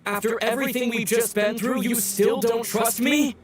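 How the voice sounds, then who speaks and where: A high-pitched young man from a video game, coaxing and demanding with drawn-out persuasion. His voice sits back from the mic, with music underneath. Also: steady tone. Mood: pleading, manipulative. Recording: echoey, distant, music underneath.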